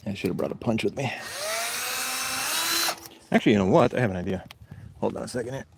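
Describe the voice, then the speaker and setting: A man's voice: lowish voice, quick pace, gravelly. A man hosting a homestead livestream, sniffly from allergies, talking to himself and swearing casually while he works outdoors, picked up by a camera set up nearby. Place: on his homestead, working outdoors. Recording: noisy work-site recording.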